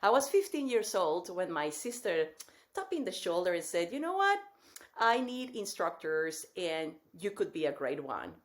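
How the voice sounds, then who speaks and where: A woman in her forties from Mexico with a Mexican Spanish accent, a guest telling her story in a lively way on a podcast. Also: fairly low pitch, moderate pace. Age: 40s. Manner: lively storytelling with frequent laughs. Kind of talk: podcast interview.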